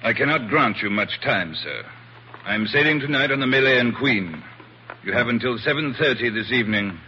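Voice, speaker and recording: An actor playing a formal, coolly insistent plantation owner in a 1940s radio drama, stiffly polite and clipped. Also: male voice, mid-range voice, animated, normal speed, pushed and bright, lo-fi sound. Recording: noisy old 1940s radio recording.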